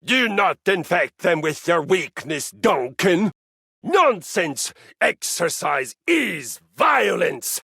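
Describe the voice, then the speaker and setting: Bombastic warrior brute, wildly swooping delivery. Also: male voice, high-pitched, big pitch swings, takes it slowly, creaky, sharp tone, breathy edge, no room sound, clean studio sound, full character voice. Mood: bellowing outrage. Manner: bombastic, domineering, scornful. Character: huge pompous bearded warrior brute preaching painful exercise. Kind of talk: video game character acting.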